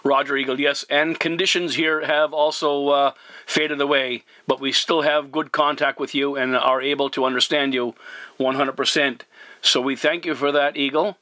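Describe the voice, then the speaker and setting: Bright-voiced man on a CB radio. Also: medium pitch, flat delivery, no echo. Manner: courteous, formal, deliberate repeating. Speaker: CB radio operator. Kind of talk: radio contact talk, spelling in phonetic alphabet.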